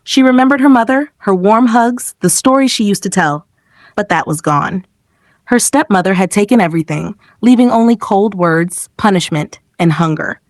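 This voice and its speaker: A woman's voice: medium pitch, expressive, moderate pace, no echo, clean studio sound. A woman reading a scripted, dramatic folktale as a storyteller.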